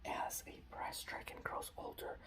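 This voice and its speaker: Male ASMR creator, breathy whisper with playful halting repeats, recorded roomy and slightly distant. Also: fairly bright tone. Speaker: ASMR creator showing painted miniatures and reading lore.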